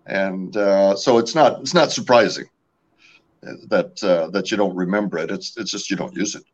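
A man's voice: low-pitched, moderate pace, muffled recording. A man from California, raised speaking French at home, talking about his company's products in a casual interview, with many fillers and restarts. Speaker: company representative.

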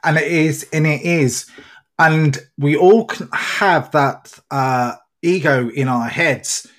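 A man's voice: a little high, slow, a bit bright. A man speaking as a podcast host in a reflective conversation, giving earnest, rambling explanations.

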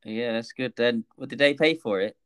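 A man's voice: medium pitch, normal speed, fairly bright tone, clear, resonant tone, no echo, studio quality. A man hosting a gym podcast, laid-back and rambling, with many fillers. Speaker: podcast host. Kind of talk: casual interview.

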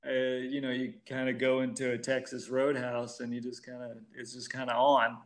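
A man in his early thirties chats casually as a podcast guest. His voice is medium in pitch, and he rambles hesitantly, dropping in mild exclamations. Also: completely flat delivery, normal speed. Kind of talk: casual chat.

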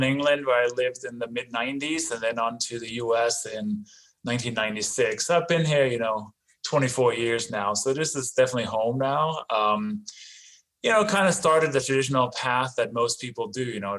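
A man's voice: mid-range voice, a bit bright, rough recording. A man in his forties from Denmark with a slight Danish accent, talking casually as a podcast guest, full of "you know" and "right?". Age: 40s. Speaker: podcast guest, real estate investor. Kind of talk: casual interview talk.

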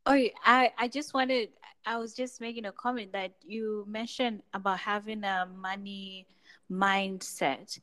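A woman's voice: mid-range voice, steady tone, unhurried, fairly bright tone. A woman co-hosting a podcast interview, asking a guest direct, friendly questions. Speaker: podcast co-host.

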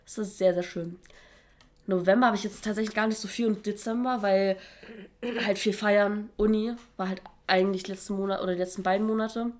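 A woman's voice: mid-range voice, fairly even intonation, brisk. Young woman, twenties, got a cold, chatty slangy podcast talk, laughs a lot. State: has a cold. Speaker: podcast co-host. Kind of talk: casual chat.

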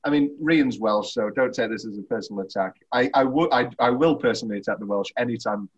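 A British man hosting a casual film podcast, talking in a teasing, opinionated, animated way. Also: mid-range voice, fast talker, husky, muffled recording. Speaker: podcast host.

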